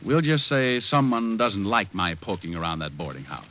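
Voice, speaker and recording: A man's voice: on the low side, very animated, normal speed. An actor in an old radio noir drama playing a wry, cocky hard-boiled private detective who wisecracks as he talks and narrates. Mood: sardonic, cool. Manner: wisecracking, sardonic. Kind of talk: 1940s-50s radio noir acting and narration. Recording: muffled old radio recording.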